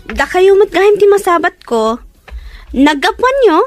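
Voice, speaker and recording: A woman's voice: extremely high pitch, big pitch swings, unhurried, exaggerated voice. A woman playing a respectful, eager young village daughter in an Ilocano radio drama. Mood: polite. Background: music.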